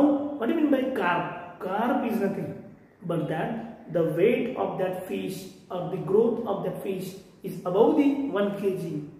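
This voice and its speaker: A man's voice: high-pitched, dark tone. A man in his forties with an Indian accent, lecturing a class and repeating his points, filmed from a distance in front of a whiteboard. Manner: repetitive explaining, gesturing with hands. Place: room with whiteboard. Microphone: far camera. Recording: echoey room from far off.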